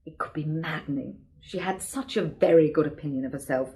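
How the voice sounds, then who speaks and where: A blunt, worldly sister. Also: female voice, low voice, brisk, a little mellow. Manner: frank, sardonic. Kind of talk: radio drama acting.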